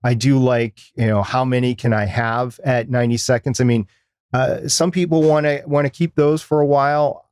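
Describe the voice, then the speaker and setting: Man, middle-aged, podcast co-host. Medium pitch, plain-spoken casual discussion, dry recording. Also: no room sound.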